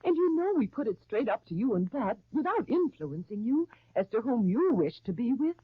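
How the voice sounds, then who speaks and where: A woman's voice: fairly high pitch, sing-song, normal speed, slightly rough, no room sound. An earnest, pleading stepmother. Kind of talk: 1930s radio soap acting. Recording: tinny 1939 radio broadcast recording.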